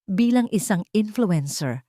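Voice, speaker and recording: A woman's voice: medium pitch, lively intonation, measured pace, dark tone, no room sound, studio quality. A woman narrating a dramatic romantic audio story.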